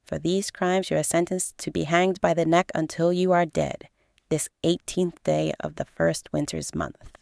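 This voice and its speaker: A woman voicing a stone-faced male arbiter in a fantasy radio drama, delivering stiff, formal, deliberate pronouncements. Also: lowish voice, expressive, vocal fry, darkish tone, no room sound, studio quality. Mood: stern, formal.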